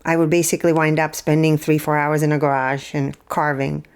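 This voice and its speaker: Low-voiced woman telling stories. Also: little pitch movement, a little fast, smooth. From Czech Republic. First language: Czech. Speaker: guest. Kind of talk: podcast interview.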